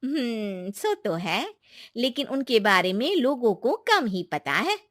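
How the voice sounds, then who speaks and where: A woman's voice: fairly high pitch, very animated, takes it slowly, a bit bright, dry recording, clean studio sound, full character voice. A woman voicing a kindly, secretive young village woman in a cartoon, speaking in an emphatic, reassuring, colloquial way. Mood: evasive. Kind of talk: Hindi moral-story cartoon dialogue.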